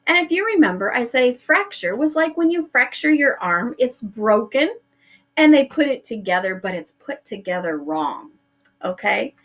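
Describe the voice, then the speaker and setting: A woman's voice: a little high, very animated, normal speed, fairly bright tone. A middle-aged woman teacher reading a picture book aloud to her pupils, drawing out words for effect.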